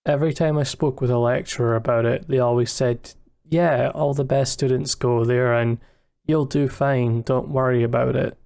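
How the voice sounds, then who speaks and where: A young man giving a scripted, reflective voice-over about his own work in a measured, candid way. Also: mid-range voice, steady tone, vocal fry, a little mellow. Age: young adult. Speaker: student filmmaker narrating own video. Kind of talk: scripted retrospective voice-over narration.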